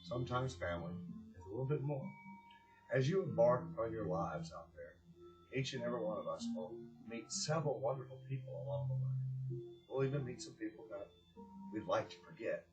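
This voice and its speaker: A man speaking earnestly and deliberately with pauses, as a presenter giving a heartfelt address and storybook reading. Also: fairly low pitch, lively intonation, dull audio, music in the background. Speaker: director of a community organization, presenter.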